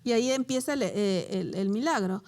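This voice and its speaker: Woman, high voice, Latin American, Bible study co-host, animated, laughs easily. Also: fairly quick. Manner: animated, laughs often, emotional when recalling personal story. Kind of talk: conversational Bible teaching.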